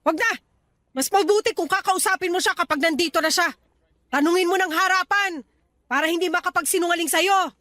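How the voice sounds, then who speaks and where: A woman's voice: extremely high pitch, a little fast, sharp tone, no echo. A woman playing a blunt, nagging older mother in a radio drama, scolding and insistent. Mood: stern, hurt. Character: blunt, nagging older mother warning her married daughter. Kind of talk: Tagalog radio drama acting.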